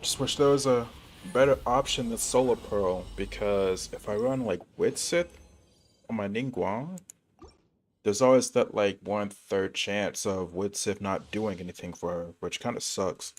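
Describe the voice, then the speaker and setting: A man's voice: lowish voice, measured pace, a little hiss or hum. A man livestreaming a video game, chatting casually and talking to viewers in chummy slang. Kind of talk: casual gaming stream chat.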